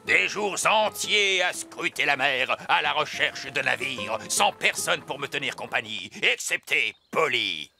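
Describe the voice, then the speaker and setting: A man's voice: mid-range voice, animated, unhurried, gravelly, hardly any pauses, pushed and bright, full character voice. A man playing a small seafaring pirate elf uncle in a children's cartoon, telling tales in a dramatic, theatrical way. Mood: dramatic reminiscing. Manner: dramatic, swooping storytelling. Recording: music behind the voice.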